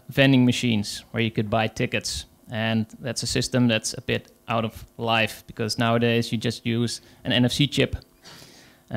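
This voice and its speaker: Dutch-accented male conference speaker, rough-edged voice, halting delivery. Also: mid-range voice. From Netherlands. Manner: hesitant, many fillers, pauses. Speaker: conference speaker, agile coach. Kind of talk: conference talk.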